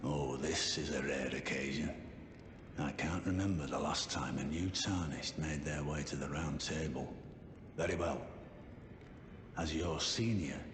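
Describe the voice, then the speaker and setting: An actor voicing a seasoned senior warrior in a fantasy game, courteous and formal, in a roomy, noisy recording. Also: male voice, a bass voice, unhurried, long pauses. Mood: welcoming. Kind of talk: scripted fantasy game dialogue. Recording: roomy echo, clear background ambience noise.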